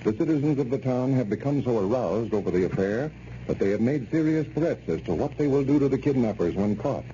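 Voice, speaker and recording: American guy, old time radio announcer, folksy sales pitch, lively and mellow, muffled recording. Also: slight background noise. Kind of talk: 1930s radio announcing, scripted.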